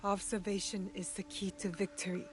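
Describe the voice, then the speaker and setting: A woman's voice: mid-range voice, level tone, fairly quick, close to an everyday voice. A woman playing an earnest, determined young shinobi in a video game, with a terse, serious delivery. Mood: dutiful.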